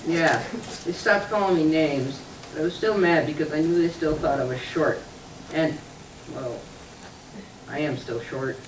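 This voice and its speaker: A woman's voice: low voice, unhurried, long pauses, recorded from a distance. A small, sulky boy puppet who whines and sniffles through his confession, as a woman puts him on. The voice is caught from afar, ringing around an echoey room with a faint hiss underneath. It has the plain sound of a church puppet show. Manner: whiny and sulky, cries in bursts.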